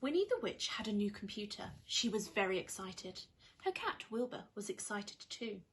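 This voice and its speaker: A woman reading a children's story aloud like a primary school teacher, with expressive, dramatised narration. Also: medium pitch, normal speed.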